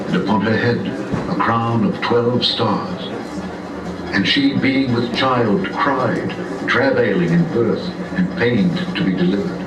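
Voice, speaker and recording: A man narrating a live puppet show, reading scripture aloud in a theatrical, declamatory way. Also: mid-range voice. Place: small theater, live performance. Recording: echoey live theater, distant mic, loud music. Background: loud music.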